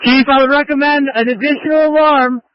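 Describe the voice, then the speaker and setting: Male fire chief, very high urgent voice, clipped commands, muffled scanner radio. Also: fairly even intonation, a little fast, no room sound. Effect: two-way radio. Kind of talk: urgent fireground radio traffic.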